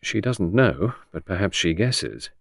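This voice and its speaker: Male narrator as shrewd police inspector, gravelly edge, confidential probing, gruff, animated pitch, audiobook. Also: measured pace, dry recording, studio quality, heavily acted. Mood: musing. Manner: easy, confidential, probing, grunting at times. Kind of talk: audiobook character reading.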